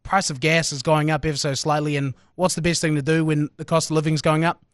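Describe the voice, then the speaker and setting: A man's voice: a little high, little pitch movement, fast talker, no room sound. A man with a New Zealand accent co-hosting a podcast in casual studio banter. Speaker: podcast co-host.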